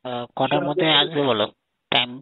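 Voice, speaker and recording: A man's voice: mid-range voice, moderate pace, breathy edge, dry recording. A man with a Bengali accent chatting casually and intimately on a phone call, asking questions in a coaxing way. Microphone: phone line. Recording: tinny narrowband phone call recording.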